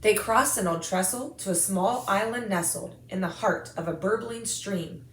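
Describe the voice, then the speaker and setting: A woman's voice: medium pitch, normal speed, a little hiss or hum. American woman storyteller in an echoey room. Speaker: storyteller reading a picture book to children. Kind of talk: expressive read-aloud of rhyming children's story.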